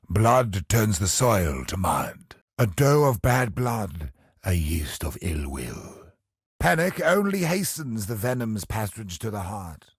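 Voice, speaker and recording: An ancient godlike game villain, brooding and grim. His voice is husky and fairly low, recorded close and dry. He delivers each phrase theatrically, with contempt, sinking into a hush as it ends. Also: no echo, full character voice. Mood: grim, ominous. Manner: theatrical, slow, ominous, dropping to hushed phrase endings. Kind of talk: scripted video game villain monologue.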